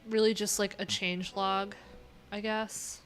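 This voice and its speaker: A woman thinking aloud in a casual, unscripted tech livestream, informal and halting, often trailing off mid-thought. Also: mid-range voice, flat delivery, soft background music. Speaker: livestream host, web developer.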